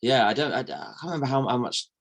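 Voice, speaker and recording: A man who is an actor, guesting on a podcast and chatting in a relaxed, easygoing and enthusiastic way. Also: medium pitch, quick pace, gravelly. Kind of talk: casual chat.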